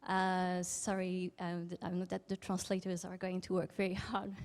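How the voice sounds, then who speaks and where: A woman with a French accent, speaking English as a second language, opens her conference talk politely and with some hesitation. Her voice sits slightly low for a woman, and she speaks with frequent small restarts. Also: monotone, darkish tone. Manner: polite, apologetic, halting with restarts. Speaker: academic speaker. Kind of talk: conference presentation.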